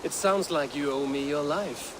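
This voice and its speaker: A man's voice: fairly high pitch, normal speed, background noise, natural voice. A man playing a stern, wry Viking warrior, speaking in terse, dry challenges in a video game scene. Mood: wry, probing. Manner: probing, terse, dryly confrontational. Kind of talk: video game cutscene acting.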